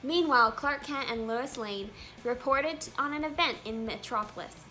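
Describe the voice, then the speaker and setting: A woman hosting a children's read-aloud, telling a storybook in an animated, expressive way with emphatic exclamations. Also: high-pitched, moderate pace. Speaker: read-aloud channel host. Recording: music behind the voice. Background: music.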